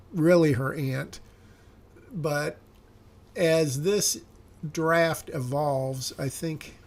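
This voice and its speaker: Man, screenwriter working aloud; a bit raspy and fry-heavy, halting, searching for words. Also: a little high, darkish tone. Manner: musing, hesitant, self-correcting. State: slightly raspy voice. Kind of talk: thinking aloud while reviewing a script.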